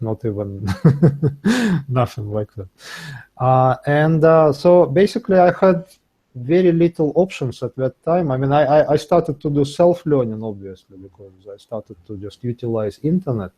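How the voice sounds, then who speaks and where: Mellow man, hesitant speaker. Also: mid-range voice. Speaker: interview guest, English learner. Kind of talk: casual interview talk.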